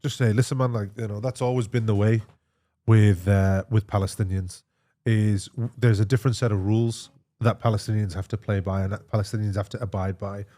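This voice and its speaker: Low-pitched man chatting casually. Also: steady tone, fairly quick, dark tone. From Australia. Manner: informal, matey, repeats himself. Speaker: interview guest, emergency physician. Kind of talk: casual conversation.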